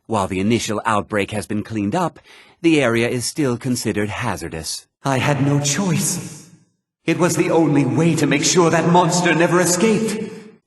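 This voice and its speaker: A man playing a grave older mage in a video game, with a measured, formal, theatrical delivery. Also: medium pitch, breathy edge, dry recording. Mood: formal, stern, then anguished, desperate. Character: grave older male mage, stern college leader, haunted by guilt. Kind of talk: dramatic video game voice acting.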